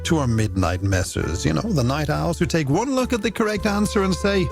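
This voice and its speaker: A man's voice: a little high, lively intonation, a little fast, gravelly. A man presenting a late-night music radio show in playful, theatrical, florid patter from a studio. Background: music bed under the voice.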